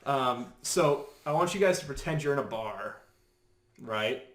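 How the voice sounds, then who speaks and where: A man's voice: mid-range voice, lively intonation, measured pace. A man co-hosting a podcast, chatting casually in a playful, cheeky, joking way. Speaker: podcast co-host. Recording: roomy, echoing room sound.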